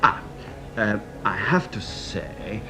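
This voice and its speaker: An obsequious young-in-rank French police assistant for a radio mystery. His fairly low voice leaps about in pitch as he hesitates, gushes and ties himself in flowery knots. Music plays clearly behind him. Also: very animated, takes it slowly, pushed and bright, heavily acted. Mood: fawning, nervous. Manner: fawning, hesitant, stammering, flowery. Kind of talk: radio detective drama acting.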